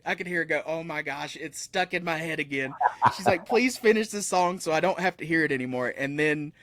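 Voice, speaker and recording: Man, 30s, musician guest; loose, self-correcting storyteller, slightly raised pitch. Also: a little fast, fairly bright tone, no echo. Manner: rambling, self-correcting, laughs often. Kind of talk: casual interview chat. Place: room with metal posters behind him.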